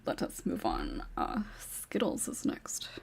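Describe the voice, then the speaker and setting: A woman's voice: slow pace, darkish tone, in a whisper. A woman moderating a casual podcast discussion, often laughing as she talks. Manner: laughs often while talking. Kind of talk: casual chat.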